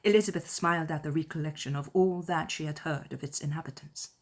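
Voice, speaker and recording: A woman reading scripted literary narration in a measured, formal storytelling manner. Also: low-pitched, fairly even intonation. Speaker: audiobook narrator.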